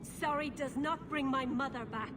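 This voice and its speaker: A vengeful elf played by a woman speaks in a high voice. She is bitter, grieving and accusing in a dramatic game scene, with clear noise behind her. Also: moderate pace, lightly acted. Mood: bitter fury. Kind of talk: video game dramatic acting. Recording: game audio with background noise.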